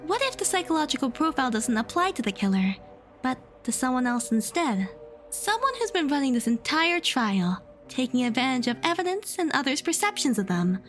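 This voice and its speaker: A woman's voice: high voice, sing-song, fairly quick, soft background music. A woman playing an earnest young student in an audio drama, reasoning insistently and emphatically. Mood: resolved, deducing. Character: earnest young female student defending the accused.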